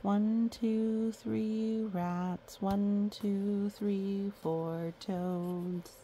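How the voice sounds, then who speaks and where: Slow-paced woman reading a picture book aloud. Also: mid-range voice, steady tone, warm tone, slight background noise. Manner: playful, sing-song counting, often breaks off to comment. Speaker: children's book read-aloud storyteller. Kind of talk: picture book read-aloud with chatty asides to children.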